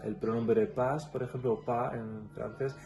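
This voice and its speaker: A man with a Catalan-tinged Spanish accent speaks as an interview guest. His voice sits at an ordinary middle pitch, and he explains thoughtfully, pausing and restarting as he goes. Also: fairly even intonation, creaky, warm tone. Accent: Catalan-influenced Spanish. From Catalonia, Spain. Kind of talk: casual interview conversation.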